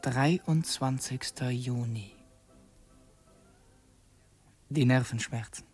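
Brooding young revolutionary, husky. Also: male voice, medium pitch, darkish tone. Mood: troubled, pensive. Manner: brooding, halting, fervent. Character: tense young revolutionary man secretly plotting against his host. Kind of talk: German radio drama acting with confessional narration.

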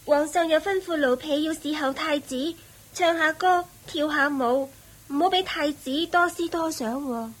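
Radio drama bit part: a dutiful young servant girl at court, high-pitched, Hong Kong Cantonese accent, obedient. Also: unhurried. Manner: deferential. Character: obedient, eager young palace maid entertainer.

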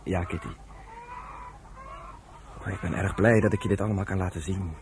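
An actor playing a steady, affectionate young farm son in a radio drama, earnest and reassuring. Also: male voice, deep voice, normal speed. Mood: proud, earnest. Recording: noisy old radio play with farm animal sounds.

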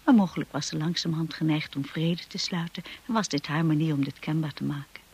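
An actress playing a timid, earnest young wife who tells her own story in a radio drama, with a husky, breathy voice. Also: low voice, brisk, darkish tone, exaggerated voice. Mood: wistful narration. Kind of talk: radio drama acting and first-person narration.

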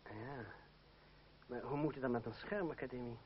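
An actor playing a proud young fencing master, formal and ironic, in a Dutch radio play. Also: male voice, mid-range voice, moderate pace, lightly acted. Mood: resolute. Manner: formal, ironic, self-assured. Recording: dull, noisy old radio recording.

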